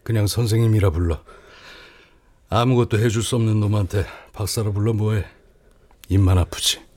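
An actor playing a blunt male doctor in his late fifties who talks in a dry, gruff way. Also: fairly low pitch, steady tone, moderate pace, dark tone, lightly acted. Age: late 50s.

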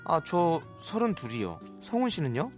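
A man's voice: fairly high pitch, very animated, normal speed, a little mellow, soft background music. A man voicing a blunt, easily flustered woman of thirty-two in a radio story reenactment. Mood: surprised. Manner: flustered, prim, indignant. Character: blunt, easily flustered 32-year-old single woman telling her story.

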